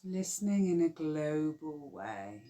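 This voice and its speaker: Slow soft-spoken woman guiding meditation. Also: sits low, very animated. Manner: slow, soft, soothing guidance with long pauses. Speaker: yoga nidra teacher. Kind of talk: guided relaxation meditation.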